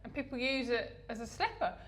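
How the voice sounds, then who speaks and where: Woman, English accent, bright tone, higher voice, cheeky casual banter. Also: lively intonation, a little fast. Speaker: podcast co-host. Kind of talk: casual chat.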